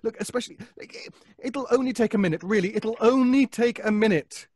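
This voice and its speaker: A man acting a nervous, scheming fellow in an old-time radio suspense play, with a halting, stammering, pleading delivery. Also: high voice, darkish tone, dry recording, clean studio sound. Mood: desperate, pleading. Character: nervous, obsessive, scheming middle-class man.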